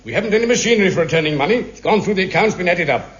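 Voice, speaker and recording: An actor with a British accent playing an unflappable, bureaucratic police sergeant in a 1950s radio comedy. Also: male voice, sits high, animated, rapid-fire, a bit bright. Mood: officious. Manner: dry, officious, politely unhelpful. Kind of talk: 1950s radio sitcom acting. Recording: muffled hissy 1950s radio broadcast.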